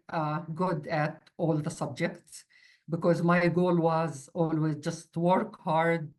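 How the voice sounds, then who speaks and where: Middle-aged female interviewee, Arabic accent, fairly low voice, very unhurried and halting delivery. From Palestinian refugee from the Middle East. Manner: halting, searching for words. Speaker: university mathematics instructor. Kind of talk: informal interview, personal account.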